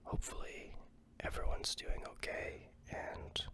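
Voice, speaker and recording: Soft, stuffy, rambling whisper. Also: measured pace. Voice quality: fully unvoiced whisper. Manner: rambling, hesitant, many ums and restarts. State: a little stuffy from a cold. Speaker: ASMR vlogger. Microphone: close ASMR microphone.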